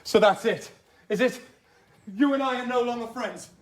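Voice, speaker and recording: A man's voice: extremely high pitch, normal speed. A man acting in a radio drama as an earnest, wounded young husband and doctor, pleading and aggrieved. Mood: hurt, indignant.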